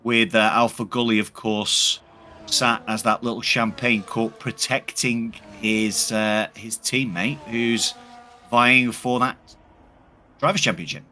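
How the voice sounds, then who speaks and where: Bright-toned male racing co-commentator. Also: medium pitch, fairly even intonation, slow pace, a little hiss or hum. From New Zealand. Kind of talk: live esports race commentary.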